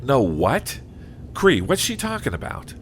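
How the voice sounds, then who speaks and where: A man's voice: mid-range voice, huge pitch swings, unhurried. A man playing a devoted, anxious husband who narrates his own story in an earnest audio drama style. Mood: alarmed, demanding. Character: devoted husband of an ailing wife, anxious, first-person narrator. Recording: clearly noisy audio drama track.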